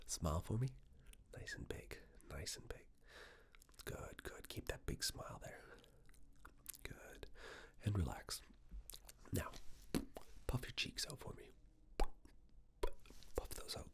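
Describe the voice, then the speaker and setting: A man speaking in a hushed ASMR medical roleplay style, giving gentle step-by-step instructions as a clinician. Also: low voice, gravelly. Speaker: ASMR performer playing a clinician giving a cranial nerve exam. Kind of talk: ASMR medical roleplay, soft near-whisper.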